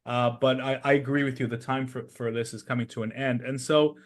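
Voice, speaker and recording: A man's voice: mid-range voice, level tone, a little fast. A man discussing politics analytically as a podcast host, explaining his points step by step with emphasis.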